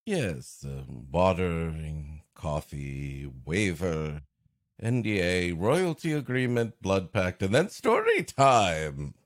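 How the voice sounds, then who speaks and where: A man's voice: low voice, big pitch swings, takes it slowly. A man co-hosting a comedy podcast, breaking into the story with sarcastic, mock-pedantic banter. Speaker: podcast co-host who interrupts the story. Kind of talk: comic banter.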